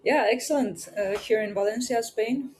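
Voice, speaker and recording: A woman's voice: fairly high pitch, moderate pace, a little mellow. Thoughtful woman. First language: Romanian. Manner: hesitant, thoughtful, light laughs. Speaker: podcast guest. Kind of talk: casual interview answers.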